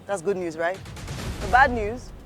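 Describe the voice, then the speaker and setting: An emphatic reality show host with a mid-pitched voice delivers scripted lines. Strong music plays behind. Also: a little slow. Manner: upbeat, emphatic announcer. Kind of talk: scripted presenting.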